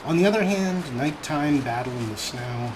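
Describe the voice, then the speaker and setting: A man's voice: on the high side, animated, moderate pace, noisy background. A man giving casual, chatty gameplay commentary as a let's play host, with a wryly understated manner.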